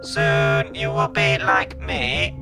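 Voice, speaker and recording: Very slow, gravelly cyborg giant. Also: male voice, sits high, voice pushed up, full character voice. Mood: cold, commanding. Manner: slow, measured, monotone delivery of stilted phrases. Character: converted cyborg man, cold emotionless metal giant. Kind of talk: audiobook sci-fi character voice. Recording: music behind the voice.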